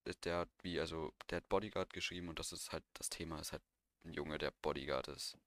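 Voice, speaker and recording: A man's voice: rumbling low, fairly even intonation, fast talker, a bit bright, no room sound, studio quality. A young man talking informally and with slang as co-host of a casual podcast. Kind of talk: casual chat.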